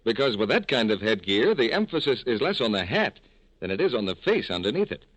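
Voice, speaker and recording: A man's voice: mid-range voice, sing-song, brisk, fairly bright tone, no room sound, low-quality recording. A man working as a radio announcer, giving scripted sponsor banter in a polished, folksy 1940s sales style on an old broadcast recording.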